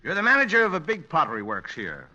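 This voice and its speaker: A man's voice: a little high, huge pitch swings, quick pace, sharp tone, full character voice. An actor playing a jaunty, folksy con-man sidekick posing as a doctor, with brash colloquial patter in a vintage radio comedy. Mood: gleefully scheming. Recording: dull vintage radio recording.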